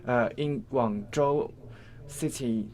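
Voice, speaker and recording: Male guide, mid-range voice, halting and self-correcting, simple phrasing, live local history explanation. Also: mid-range voice, a little mellow.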